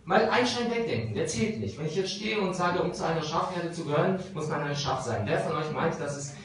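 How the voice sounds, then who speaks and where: A man's voice: fairly high pitch, a little fast. A man in his thirties, a lawyer, explaining things informally to a pub audience with examples, speaking into a handheld microphone. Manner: explaining with examples, lively and direct. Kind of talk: informal talk answering audience questions. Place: small crowded pub room. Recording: very echoey room from far off, noisy.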